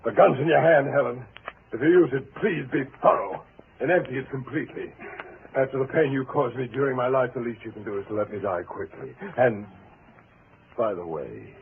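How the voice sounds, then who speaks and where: Actor as gravelly, bitter betrayed husband. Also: male voice, medium pitch, narrow, tinny audio, lightly acted. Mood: bitter taunting. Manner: sardonic, deliberate, taunting. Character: bitter, mocking, vengeful betrayed husband Roger. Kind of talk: 1940s radio thriller acting. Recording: noisy, thin 1940s radio recording.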